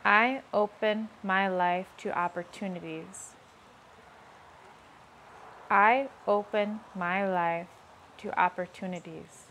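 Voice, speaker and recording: A woman speaks at an easy middle pitch, very slow and measured. She guides listeners through self-affirmations, repeating each line twice. A faint outdoor hush sits under her voice. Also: steady tone, slight background noise. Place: outdoors on a rainy day.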